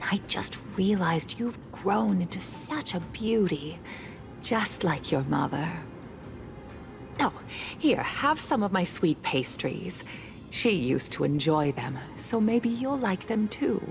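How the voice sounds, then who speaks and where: Creaky, motherly older woman. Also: mid-range voice, expressive, takes it slowly. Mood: caring, fond. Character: warm, fussing older woman, a motherly family friend. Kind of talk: game voice acting. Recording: music behind the voice.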